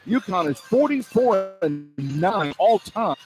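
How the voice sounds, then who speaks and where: A man's voice: sits high, expressive, takes it slowly, over music. A man working as a radio play-by-play announcer, giving live halftime sports commentary from inside an arena.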